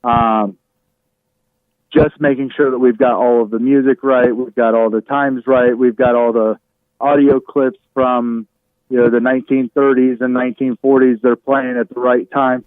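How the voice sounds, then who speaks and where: A man speaks over a narrow, phone-like connection with no room echo. His voice is medium in pitch, and he talks casually as a radio host being interviewed. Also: flat delivery, moderate pace. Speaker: radio show host appearing as a podcast guest. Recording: thin, narrow phone-like line.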